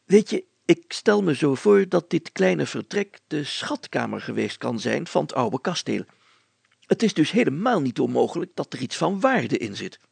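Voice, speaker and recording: A man voicing an excitable, secretive village mayor in an audiobook, telling things emphatically and deliberately in a creaky voice. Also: fairly high pitch, dry recording, heavily acted. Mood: eager, plotting. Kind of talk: acted audiobook dialogue.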